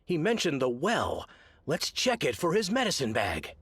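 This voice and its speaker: A man's voice: on the high side, wildly swooping pitch, moderate pace, fairly bright tone, a little hiss or hum. A man playing a confident, reassuring detective in a video game, with an assured theatrical delivery. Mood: brisk, reassuring. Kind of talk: theatrical game cutscene acting.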